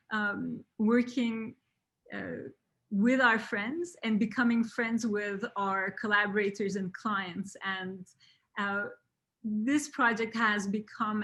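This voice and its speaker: A woman in her forties from Turkey, a native Turkish speaker, hosting an online talk, unhurried with many fillers and restarts. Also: medium pitch, fairly bright tone. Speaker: host.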